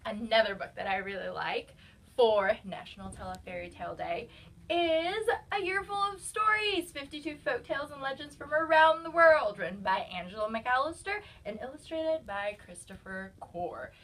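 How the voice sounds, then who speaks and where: A woman's voice: a little high, expressive, normal speed, fairly bright tone, recorded from a distance, poor audio. A young woman recommending fairy tale books in a casual, enthusiastic, chatty way. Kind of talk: casual book recommendation video.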